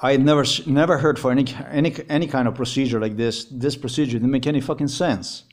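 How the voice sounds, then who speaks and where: A man with a Slovenian accent speaks English as a second language, heated and insistent. His pitch sits in an ordinary middle range. He circles back over his points, repeats words and swears as he goes. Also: steady tone, quick pace. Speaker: vlogger. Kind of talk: agitated personal account.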